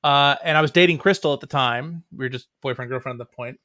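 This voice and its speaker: A man's voice: mid-range voice, fast talker, sharp tone, dry recording, studio quality. A middle-aged man chatting casually as an interview guest, with a wry, joking manner. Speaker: comics writer and playwright, interview guest.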